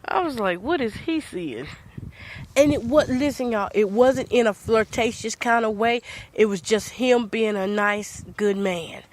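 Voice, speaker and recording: A woman's voice: on the high side, unhurried, a little hiss or hum. An older woman with a congested voice from a cold, hosting a podcast and casually telling her viewers a story in a chatty, sing-song way. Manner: chatty, animated, sing-song Southern talk. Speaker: podcast host. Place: rural home.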